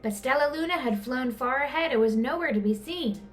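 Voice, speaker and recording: Woman storyteller, high-pitched voice, lively intonation, children's book narration, soft music behind. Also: fairly quick. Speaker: storyteller, teacher. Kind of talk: expressive children's picture-book reading.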